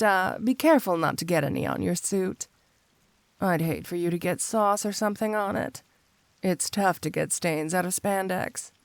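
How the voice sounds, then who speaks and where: A woman's voice: lowish voice, no echo. A woman playing a flirty, smug supervillain cat burglar in an intimate ASMR roleplay, teasing and coaxing the listener with playful confidence.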